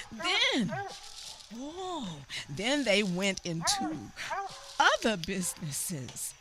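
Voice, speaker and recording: A woman narrating a radio play in a slow, halting way, repeating words, swinging between raised cries and low whispers. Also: pushed and bright. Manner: drops to a whisper at times. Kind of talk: dramatic spoken-word storytelling.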